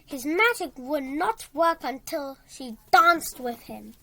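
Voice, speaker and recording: High-pitched child reading a story aloud, slowly. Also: lively intonation, creaky, bright, forceful tone. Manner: halting, stumbles and repeats words while reading.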